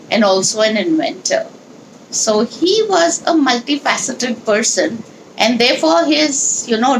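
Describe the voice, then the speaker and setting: A woman leading a children's read-along, speaking in an instructional, deliberate, explanatory way with pauses. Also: fairly high pitch, a bit bright, slight background noise, poor audio. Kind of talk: instructional talk and storybook read-aloud.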